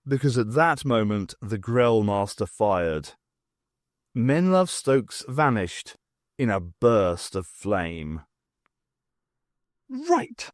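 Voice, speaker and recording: Male audiobook narrator, medium pitch, very lively intonation, dramatic scripted storytelling, dry sound. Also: dry recording. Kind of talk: scripted fiction narration with character voices.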